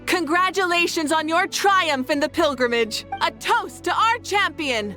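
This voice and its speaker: A woman's voice: extremely high pitch, expressive, measured pace, soft background music, exaggerated voice. A woman voicing a confident, regal female fire goddess who leads a feast in a game cutscene, speaking in a proclaiming manner. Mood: celebratory. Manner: warm-hearted, regal, proclaiming. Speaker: archon leading a celebration feast. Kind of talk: video game cutscene voice acting.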